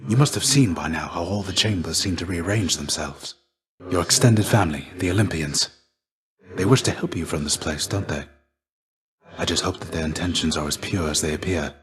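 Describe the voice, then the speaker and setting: A low-pitched, world-weary warrior shade, played by a man for a video game. He speaks with measured, archaic formality and theatrical weight. His temper is gentle and earnest, that of a fatherly old mentor guiding a younger pupil. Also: natural voice. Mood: wistful, gentle. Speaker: voice actor.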